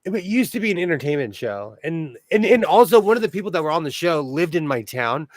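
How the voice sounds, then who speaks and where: A man's voice: on the high side, very animated, fast, dry recording. A man from Southern California co-hosting a live sewing stream, telling stories in a casual chat and laughing often. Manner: chatty storyteller, laughs often.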